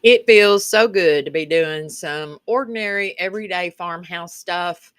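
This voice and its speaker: A woman's voice: on the low side, unhurried, a bit bright, clean tone, no echo, studio quality. A woman with a rural Kentucky Southern accent narrating a casual craft tutorial as the channel's host, in a folksy, chatty way. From Kentucky, rural United States.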